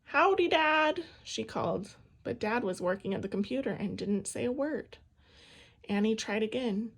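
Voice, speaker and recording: A woman reading a children's picture book aloud in an expressive storytelling style. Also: mid-range voice, moderate pace, husky. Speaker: storybook reader.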